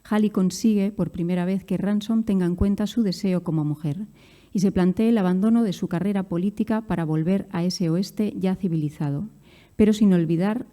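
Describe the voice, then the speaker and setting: A woman's voice: fairly low pitch, normal speed, mellow. A woman academic reading a scripted conference paper.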